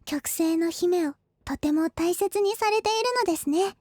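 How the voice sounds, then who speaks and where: A woman's voice: very high voice, moderate pace, darkish tone, no room sound, clean studio sound. A woman playing a timid, polite young girl in a fantasy audio drama, with hesitant delivery. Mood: nervous, faltering.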